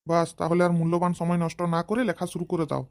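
An actor playing a brisk male schoolteacher supervising an exam in a comedy cartoon, giving authoritative instructions. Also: mid-range voice, completely flat delivery, creaky, close to an everyday voice. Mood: brisk, instructing.

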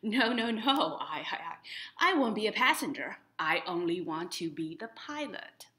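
Determined teen heroine of a picture book, voiced by a young woman reader: bold, emphatic, lively melody. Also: medium pitch, moderate pace, roomy sound. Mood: insistent. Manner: insistent, defiant. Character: bold, determined teenage girl dreaming of flying.

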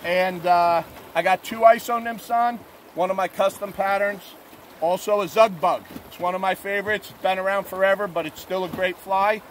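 A man with a fairly high voice talks in an easygoing, unpolished way, with plenty of "uh"s, as he reports on the fishing conditions. He speaks outdoors from a boat to a camera close by, and faint rushing river noise sits under his voice. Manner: relaxed, plainspoken, filler-heavy. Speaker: fishing guide. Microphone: camera mounted on the boat at arm's length. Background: rushing river water.

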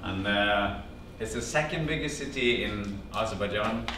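A man's voice: lowish voice, moderate pace. A man in his fifties with a Norwegian accent, animatedly telling a personal story to a small audience and quoting family conversations. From Norway. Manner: animated storyteller, quotes family dialogue, gestures while talking. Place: classroom-sized meeting room with projector screen. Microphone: far camera, no visible mic. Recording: echoey room from far off, background hum.

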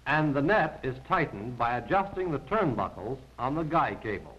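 A man's voice: mid-range voice, very animated, moderate pace, a bit bright, slight background noise. A man narrating a scripted 1940s military training film in a formal, precise, instructional manner. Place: voice-over recorded apart from the pictures. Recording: dull, band-limited 1940s film soundtrack.

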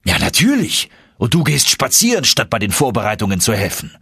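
A man voicing an excitable, impatient older professor who lectures and commands emphatically in an audiobook. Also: medium pitch, fairly quick, gravelly, husky, dry recording, studio quality, exaggerated voice. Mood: impatient, shouting.

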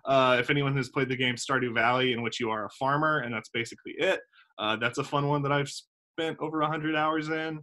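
A man's voice: medium pitch, fairly even intonation, fairly quick, pushed and bright. Chatty man on a video call. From South Carolina. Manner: chatty and self-deprecating, with many ums and uhs. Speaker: theater director and playwright. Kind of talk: casual video-call interview. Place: home room with windows. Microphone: video call laptop mic.

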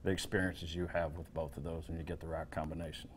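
A man in his thirties from Kentucky answers interview questions in a TV studio. His voice is very low, and he gives short, easygoing replies with small hesitations. He speaks into a stand mic. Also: little pitch movement, fast talker, slight background noise. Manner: brief, laid-back answers with hesitations. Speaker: musician guest.

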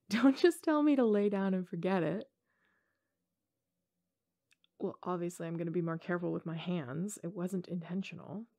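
A woman's voice: medium pitch, darkish tone. A woman playing a playful, teasing college-age tomboy gamer girl in an intimate ASMR roleplay, talking casually in slang with hesitations. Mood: flustered, awkward.

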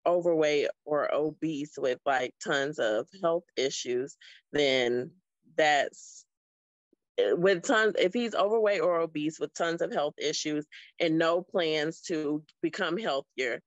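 A woman's voice: low-pitched, slow pace, no echo. A woman in her late thirties from South Florida, chatting on a video call in a friendly, thoughtful way with frequent ums. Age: 30s. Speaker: guest. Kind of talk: casual video call chat. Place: small room indoors. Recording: dull, dry video call audio.

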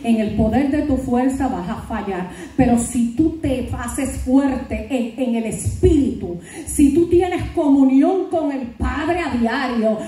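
A woman's voice: sits high, animated, slow pace, dark tone. A middle-aged woman pastor preaching a fervent sermon, peppering her words with hallelujahs and praise. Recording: very echoey hall, voice from far off.

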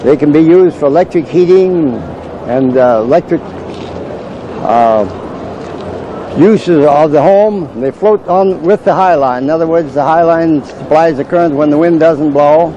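An older man who builds wind turbines, calmly explaining how they work to people at an outdoor fair, with plenty of uh's. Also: on the high side, a little slow, muffled recording. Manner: plain, matter-of-fact explaining with frequent uh. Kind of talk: explaining to fairgoers. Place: outdoor fairground. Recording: noisy outdoor camcorder audio.

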